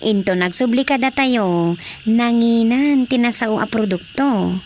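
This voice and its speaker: A woman's voice: on the high side, unhurried, a little mellow, close to an everyday voice. An actress playing a curious, concerned woman trading news in a radio drama comedy. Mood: opinionated. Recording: background ambience noise.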